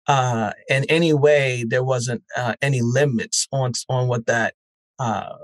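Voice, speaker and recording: Measured man in a podcast interview. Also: medium pitch, no echo. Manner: measured, thoughtful, frequent "uh"s. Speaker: guest, network founder. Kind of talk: interview answers. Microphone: remote call.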